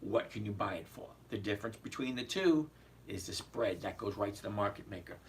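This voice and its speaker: A man hosting a casual stock-trading livestream, his voice hoarse and cracking as he chats with viewers and keeps asking "okay?". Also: lowish voice, animated, brisk. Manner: chatty, repeats "okay?", answers viewers. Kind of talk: casual livestream market commentary. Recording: distant mic with faint background noise.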